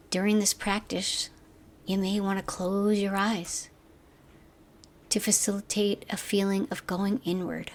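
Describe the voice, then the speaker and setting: A woman guiding a meditation as a teacher, speaking gently in slow, measured phrases that trail off softly. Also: lowish voice. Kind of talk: guided meditation narration.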